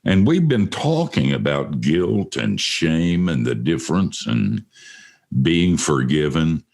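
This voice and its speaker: Deep gravelly older man. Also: big pitch swings, takes it slowly, darkish tone. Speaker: host. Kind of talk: casual studio chat.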